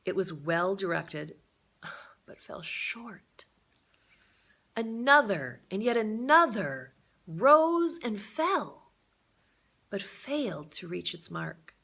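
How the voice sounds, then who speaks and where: Slow, expressive woman reading a story aloud. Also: mid-range voice, gravelly. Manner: expressive, deliberate delivery with dramatic emphasis. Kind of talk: dramatic read-aloud storytelling.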